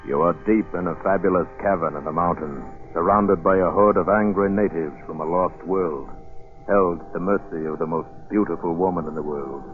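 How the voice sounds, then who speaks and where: A man announces a dramatic 1940s radio teaser. His voice is deep, with faint music behind it. The recording is thin and narrow. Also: moderate pace.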